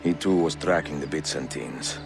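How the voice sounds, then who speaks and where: A man playing a grave, middle-aged Italian master assassin in a video game, speaking with a measured, solemn Italian accent. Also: very deep voice, creaky. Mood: somber. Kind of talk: video game cutscene acting. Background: cinematic game music.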